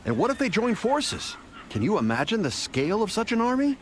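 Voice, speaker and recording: An actor playing an anxious, earnest military commander in a video game, speaking formally and urgently. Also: male voice, sits high, huge pitch swings, fairly quick, slight background noise. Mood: alarmed. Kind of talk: video game cutscene acting.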